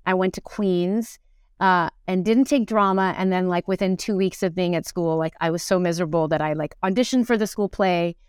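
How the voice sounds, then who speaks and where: A middle-aged woman chatting reflectively as an interview guest, animated and thinking aloud, often doubling back on her words. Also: on the low side, clear, resonant tone, no echo, studio quality. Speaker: interview guest, musical theatre actor. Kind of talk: casual reflective conversation.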